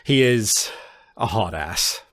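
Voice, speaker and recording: Male game master, lowish lively voice, casual improvised narration, informal and halting.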